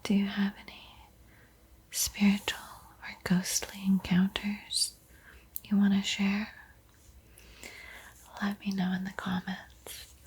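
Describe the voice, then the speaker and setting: A woman giving an ASMR art tutorial in a breathy whisper, with a soft, unhurried, playfully eerie and teasing manner. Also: mid-range voice, monotone. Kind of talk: whispered ASMR painting tutorial with spooky roleplay.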